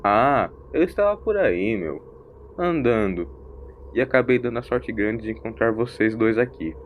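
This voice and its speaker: A man's voice: mid-range voice, animated, measured pace, narrow, tinny audio, a little hiss or hum, natural voice. A man voicing a mysterious, eccentric vagabond in an audio story, drawling slangy words in a theatrical, teasing way. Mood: playful, teasing. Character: mysterious eccentric wandering vagabond, teasing, preachy, ancient but young-sounding.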